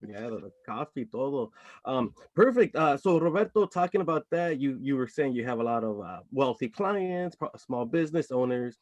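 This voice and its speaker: A man's voice: mid-range voice, lively intonation, normal speed, a little mellow, dry recording, dull audio. A man hosting a casual live-stream interview over a video call, friendly, with fillers and dropping in Spanish words. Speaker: host, marketing manager.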